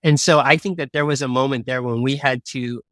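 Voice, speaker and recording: Earnest halting man, dry sound. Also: mid-range voice, steady tone, fairly bright tone, no echo. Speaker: interview guest, bubble tea entrepreneur. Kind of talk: reflective interview talk.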